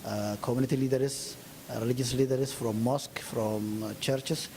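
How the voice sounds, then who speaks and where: A man's voice: mid-range voice, fairly even intonation, dark tone, slight background noise. Earnest man. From Ethiopia. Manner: earnest, with frequent uh pauses. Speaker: community leader, interview guest. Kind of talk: interview answers.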